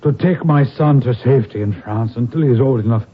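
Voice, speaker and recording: An actor playing a wounded, middle-aged Irish rebel lord on his deathbed in a radio drama, weary and halting, with an Irish accent. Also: medium pitch, slightly rough, lightly acted. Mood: grave, pleading. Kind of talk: old-time radio drama acting. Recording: muffled, narrow-band vintage radio recording.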